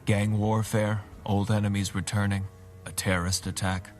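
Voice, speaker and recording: An actor playing a calm, commanding vigilante leader in an audio drama, speaking with measured authority. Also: male voice, fairly low pitch, faint music behind, lightly acted. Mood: grave, resolute.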